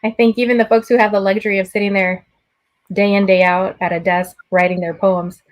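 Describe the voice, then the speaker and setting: A woman's voice: medium pitch, little pitch movement, brisk. A woman hosting a casual video call conversation, affirming and slipping Spanish phrases into her English. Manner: affirming, frequent "right?" tags. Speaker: host.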